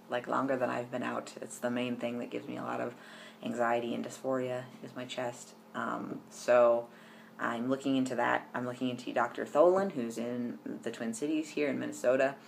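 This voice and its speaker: A man's voice: medium pitch, gravelly, slight background noise. A young man chatting casually to camera in a personal vlog, rambling with lots of ums and restarts. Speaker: vlogger.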